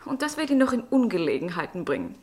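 An actress playing a young, charming, witty lady-in-waiting in a German radio drama, teasing, ironic and sharp-tongued. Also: medium pitch, sing-song, quick pace, natural voice. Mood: mocking, scornful. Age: young adult.

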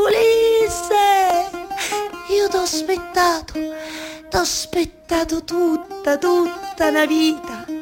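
Anxious waiting wife in a radio play, slow n halting, repeats herself, highish voice, guitar behind. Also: female voice. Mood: desperate longing. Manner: halting, obsessively repeating words and phrases. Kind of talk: theatrical radio monologue over live music. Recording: live guitar music behind the voice. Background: plucked guitar music.

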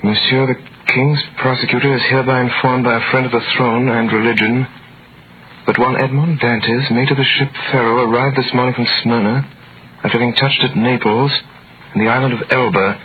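A man's voice: medium pitch, steady tone, a little fast, narrow, tinny audio, natural voice. A man playing an earnest young sailor in solemn, theatrical 1930s radio drama style. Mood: respectful, bewildered. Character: earnest young sailor, nineteen, recounting his own story. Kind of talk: 1930s radio acting, dramatic first-person narration. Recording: thin noisy vintage radio recording.

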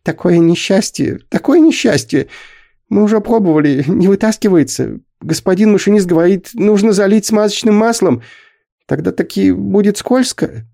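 A man's voice: sits high, lively intonation, moderate pace, creaky, dark tone, pitched above the performer's own voice. A man voicing an anxious, fussy middle-aged go-between who wails and pleads, in an audiobook. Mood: fretful.

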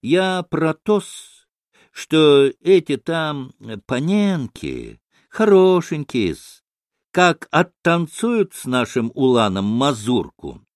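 A man voicing an obsequious, giggly old provincial gentleman in a storybook, telling tales in drawn-out, servile phrases. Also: on the high side, very animated, no room sound, clean studio sound, voice pushed up, heavily acted. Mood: ingratiating, eager.

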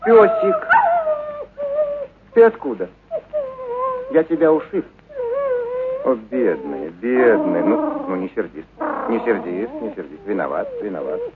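An actor voicing a short, plump, soft-hearted man who coaxes and soothes a stray dog in a radio story. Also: lowish voice, wildly swooping pitch, slow pace, long pauses, heavily acted. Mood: tender, apologetic. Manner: cooing, coaxing, repeating soothing phrases. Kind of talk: radio literary reading, acted dialogue. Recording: narrow phone-like radio sound with clear noise.

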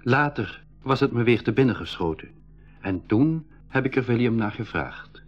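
A man's voice: medium pitch, expressive, normal speed, dull audio, faint music behind, close to an everyday voice. An actor playing a cautious boy adventurer who tells his story in a radio play for schools. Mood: storytelling. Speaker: narrator and actor.

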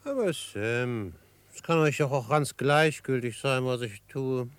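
A male actor plays a confident young frontiersman in a radio play. He speaks at a medium pitch, composed and measured, with a gentle teasing edge. The recording is dry and close. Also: lively intonation, smooth, dry recording, close to an everyday voice. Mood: unruffled. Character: calm, confident young greenhorn frontiersman with writing ambitions. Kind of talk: German radio drama acting.

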